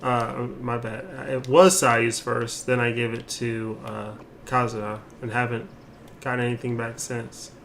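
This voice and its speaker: A man's voice: mid-range voice, flat delivery, unhurried, a bit bright. A man doing casual gameplay commentary as a streamer, rambling and thinking aloud. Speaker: game streamer. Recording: clear background noise.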